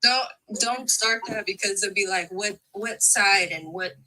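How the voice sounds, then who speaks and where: Bright, playful woman. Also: mid-range voice, unhurried, low-quality recording. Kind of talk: casual chat.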